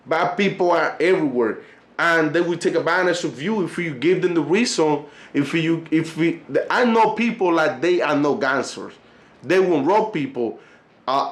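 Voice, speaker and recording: Colombian Spanish-accented male vlogger, slightly high voice, rambling direct-to-camera chat, roomy sound. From Cartagena, Colombia. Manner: repetitive, loose, calls viewers fellas. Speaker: vlogger and tour guide. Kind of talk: casual monologue to camera.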